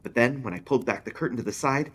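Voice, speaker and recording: A man's voice: medium pitch, very fast, fairly bright tone, breathy edge, voice pushed up. A man voicing an uneasy male book club member earnestly confessing a childhood fear in a horror story. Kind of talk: audiobook-style character voice in a horror story.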